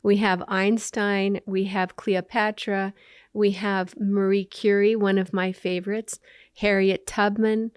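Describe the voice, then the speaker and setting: A woman's voice: medium pitch, level tone, takes it slowly, clean tone, no room sound, clean studio sound. Upbeat woman explaining her company. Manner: explanatory, upbeat, fond of listing examples. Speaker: company founder and CEO, guest. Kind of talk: interview answers.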